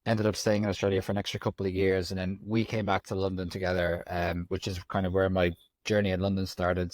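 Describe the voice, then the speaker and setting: A man in his forties with an Irish accent, from Ireland, telling his story as a podcast guest in a reflective, halting way. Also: low voice, darkish tone, no room sound. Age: 40s. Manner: reflective, frequent ums and restarts. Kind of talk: candid conversational storytelling.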